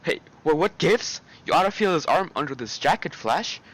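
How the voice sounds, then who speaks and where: A man's voice: fairly high pitch, lively intonation, normal speed, fairly bright tone, low-quality recording. A man playing a teasing teenage boy, a bully's sidekick, in a comic book dub. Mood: teasing, surprised.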